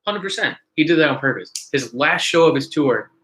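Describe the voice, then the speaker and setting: A man's voice: a little high, lively intonation, a little fast, sharp tone. A young man co-hosting a casual podcast chat, laid-back and chuckling along. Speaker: podcast co-host. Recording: roomy echo with faint noise.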